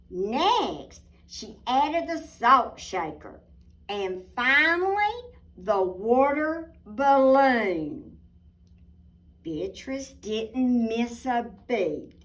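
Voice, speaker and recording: A woman reading a children's picture book aloud expressively, with dramatic pauses and asides to her listeners. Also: high-pitched, a bit bright. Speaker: storybook reader. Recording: voice distant from the mic.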